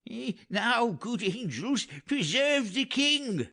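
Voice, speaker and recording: A man's voice: very high voice, big pitch swings, slow pace, sharp tone, voice pushed up, exaggerated voice. A man playing a loyal, earnest elderly royal counsellor in a dramatic Shakespeare audiobook reading. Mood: earnest alarm.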